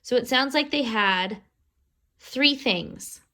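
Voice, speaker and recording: A woman reading a children's storybook aloud expressively, pausing to explain words and pictures to young listeners. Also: a little high, animated, pushed and bright.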